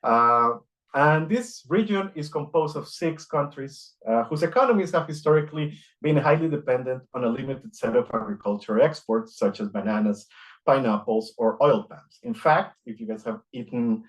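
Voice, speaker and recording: Measured male academic lecturer from Costa Rica. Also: mid-range voice, low-quality recording. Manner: reads from notes, measured, frequent filler "uh". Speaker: guest lecturer.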